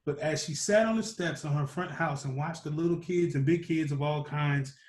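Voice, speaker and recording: A man reading a children's picture book aloud as a storyteller, with animated emphasis. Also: a little high, moderate pace. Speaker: storytime reader. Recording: roomy echo.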